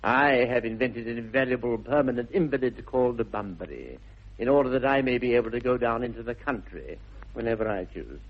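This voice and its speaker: Flippant young English bachelor, upper-class accent, husky edge, arch theatrical delivery, dull vintage radio sound. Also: male voice, medium pitch. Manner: arch, playful, theatrical emphasis. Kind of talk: 1960s British radio comedy acting.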